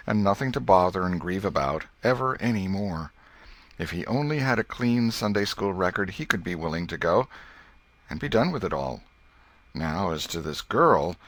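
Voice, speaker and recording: A man reading a literary story as an audiobook narrator, in a measured storyteller manner. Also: sits low, a bit bright.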